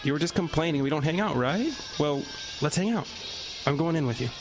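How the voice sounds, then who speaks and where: An actor playing an eager, impulsive teenage big brother with slangy talk in a horror radio drama. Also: male voice, on the high side, expressive, a little fast. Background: music score.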